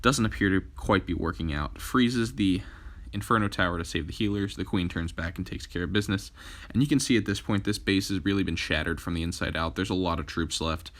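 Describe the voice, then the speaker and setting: A young man hosting a gaming channel, giving casual gameplay commentary with frequent fillers, his voice a little hoarse from a cold. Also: deep voice, brisk.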